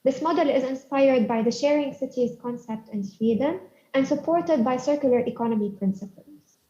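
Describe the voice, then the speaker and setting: A young woman with a Lebanese accent giving a prepared pitch as a startup co-founder over a video call. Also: moderate pace, dark tone. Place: online conference call. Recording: dull, roomy video-call audio.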